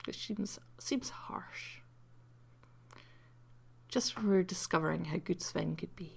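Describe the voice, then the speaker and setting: A woman giving casual, rambling commentary over a video game replay, croaky from having just woken up and mocking her own play between many ums. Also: lowish voice, takes it slowly, whispered.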